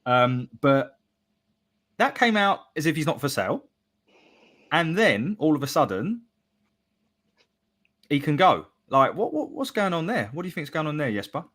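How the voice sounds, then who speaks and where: A man's voice: fairly high pitch, big pitch swings, moderate pace, long pauses, a bit bright. A man hosting a live football podcast, reading out listener messages with a laugh. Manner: upbeat, reads out chat messages with laughs. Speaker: podcast host.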